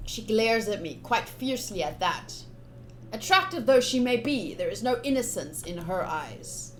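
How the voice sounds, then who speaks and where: A woman's voice: mid-range voice, normal speed, a little hiss or hum, low-quality recording. A woman game streamer giving a playful, theatrical read-aloud of a visual novel with casual commentary. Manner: expressive, playful, theatrical reading. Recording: game music behind.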